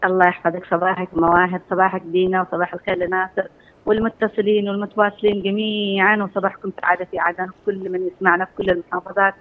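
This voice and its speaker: A woman's voice: fairly low pitch, little pitch movement, very fast, a bit bright, rough recording. A woman with an Adeni accent, calling in to a radio show and chatting with the hosts. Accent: Adeni Arabic. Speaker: radio caller. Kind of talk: call-in radio chat.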